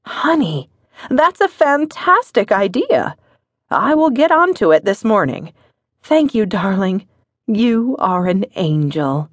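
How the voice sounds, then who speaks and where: A woman's voice: medium pitch, very animated, normal speed, no echo, pitched above the performer's own voice, lightly acted. A woman voicing a playful young wife who scolds in a mock-firm, affectionate way. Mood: teasing.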